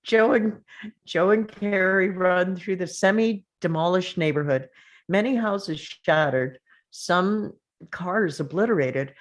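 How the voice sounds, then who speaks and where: A woman narrating the action lines of a screenplay at a table read, steady and measured. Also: lowish voice.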